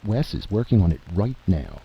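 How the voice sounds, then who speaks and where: A man voicing a gruff, slangy and stubbornly confident adventurer in a story reading. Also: low-pitched, wildly swooping pitch, moderate pace, muffled recording, a little hiss or hum, voice pushed up, full character voice. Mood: confident, reassuring.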